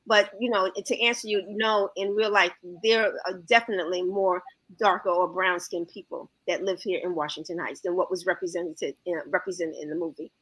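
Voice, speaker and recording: A woman's voice: on the low side, steady tone, brisk, a bit bright, rough recording. A woman, a guest reviewer from Harlem, New York, talking in a casual panel chat and reasoning aloud with rhetorical questions.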